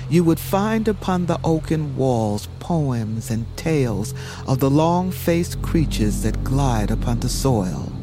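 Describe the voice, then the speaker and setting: A man narrating a fantasy tale in a measured, solemn, dramatic storytelling manner. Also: medium pitch. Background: music.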